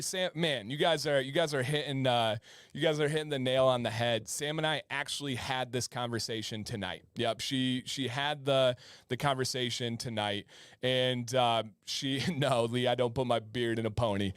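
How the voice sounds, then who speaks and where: A man's voice: medium pitch, a bit bright, clear, resonant tone, dry recording, studio quality. Chatty man hosting a live stream. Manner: chatty, repeats words and restarts often. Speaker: host. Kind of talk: live-stream casual chat.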